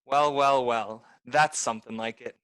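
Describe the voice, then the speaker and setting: A man's voice: medium pitch, steady tone, unhurried, fairly bright tone, smooth, natural voice. A man voicing a greedy, scheming butler who bullies and mocks, in 1930s-style radio drama acting. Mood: scornful. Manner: bullying, mocking laughter. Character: greedy scheming male butler, harsh and scornful.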